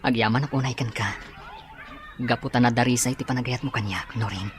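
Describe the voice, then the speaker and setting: A man's voice: medium pitch, steady tone, fairly quick, lightly acted. A man playing a humble, grateful young working man in love in an audio drama, on a slightly noisy recording. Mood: tender, grateful. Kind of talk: Ilocano audio drama acting.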